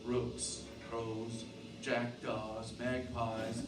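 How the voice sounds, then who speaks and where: A male actor plays a tense, protective husband and father who narrates his own radio drama. His grim voice sits back in a roomy space, with loud music in the recording. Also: mid-range voice, flat delivery, very slow, far from the mic. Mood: grave narration. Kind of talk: radio drama acting with first-person narration.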